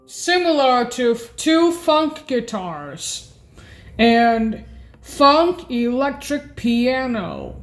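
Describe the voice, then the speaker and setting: A woman rambling through a keyboard sound demo, thinking aloud, halting and repeating herself with questions. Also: fairly high pitch, big pitch swings, soft background music. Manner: halting, repetitive, questioning, often muttering to self.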